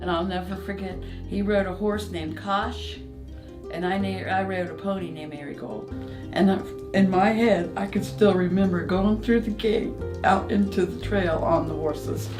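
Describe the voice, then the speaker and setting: Hesitant fifties woman, soft voice, guitar music behind. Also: medium pitch, normal speed. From Florida, US. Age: 50s. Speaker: clinic patient. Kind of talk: documentary interview. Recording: music bed under voice.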